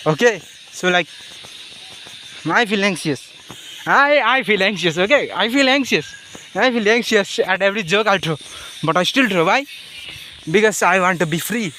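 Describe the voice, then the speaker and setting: A man with a Nepali accent speaking off the cuff in a personal monologue, halting and rambling, often repeating his phrases. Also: high voice, expressive, pushed and bright, a little hiss or hum. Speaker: vlogger.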